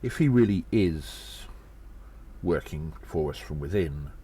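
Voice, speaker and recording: A man acts out a gnome secret agent with a strikingly low voice, telling his audio drama tale in a theatrical, self-important way. He is cheeky and deliberate, over noticeable background noise. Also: expressive, warm tone. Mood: musing, wry.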